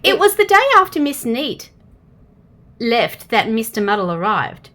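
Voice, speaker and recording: Lively, bright-voiced Australian woman reading a storybook. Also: on the high side, measured pace. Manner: expressive, asks the listener questions. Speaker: storytime reader for young children. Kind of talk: children's storybook read-aloud.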